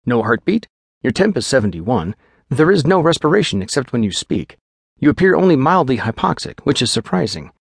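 A man's voice: mid-range voice, big pitch swings, a little fast, creaky, husky, dry recording, clean studio sound, full character voice. A man playing a rattled, fussy country doctor. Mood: clinical. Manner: fussy, clinical, nervously reassuring. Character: rattled middle-aged male country doctor.

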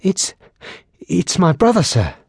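A panicked young patrol soldier, as a male narrator voices him in an audiobook. He stammers and stumbles in a husky, strained, high voice. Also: big pitch swings, normal speed, a little mellow, no echo, clean studio sound, heavily acted. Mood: frightened. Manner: stammering, fearful.

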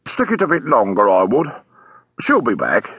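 Man playing a placid, gravelly police constable. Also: mid-range voice, huge pitch swings, normal speed, fairly bright tone, dry recording, exaggerated voice. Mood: soothing. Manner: mild, slightly formal, soothing. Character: polite, placid, helpful male police constable. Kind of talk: audiobook character voice.

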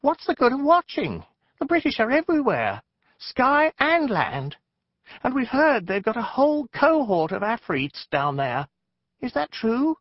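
Squeaky fretful little frog jinni. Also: male voice, huge pitch swings, measured pace, dry recording, lo-fi sound, full character voice. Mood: anxious. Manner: fretful, whiny, common-speech. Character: timid small male frog-shaped jinni sentry.

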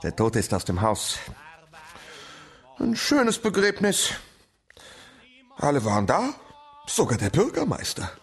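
A coarse young farm heir, awkward and bossy. The voice is fairly high for a man and very animated in pitch, in the style of radio drama. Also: a little slow, pauses often, full character voice. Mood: weary, businesslike. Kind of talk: German radio drama acting.

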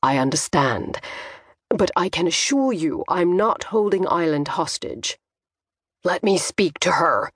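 A woman's voice: on the low side, expressive, unhurried, breathy edge, no echo, studio quality. A woman voicing an unflappable man of forty in an audiobook, a former hitman who speaks politely and with composure. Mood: calm, reassuring.

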